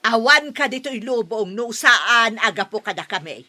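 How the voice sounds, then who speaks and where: A haughty Filipino mother in a radio drama. Her voice is very bright, hard-edged and fairly high. She scolds and mocks with scornful authority. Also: lively intonation, moderate pace. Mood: indignant, stern. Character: domineering, scornful mother who rejects her son's girlfriend. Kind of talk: Ilocano radio drama acting.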